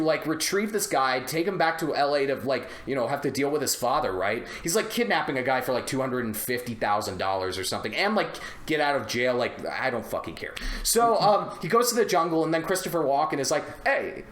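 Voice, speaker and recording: A man chatting casually on a movie podcast, telling rambling, animated stories with plenty of swearing. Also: a little high. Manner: animated, profane, rambling storytelling. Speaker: podcast co-host. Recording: roomy, echoey indoor sound.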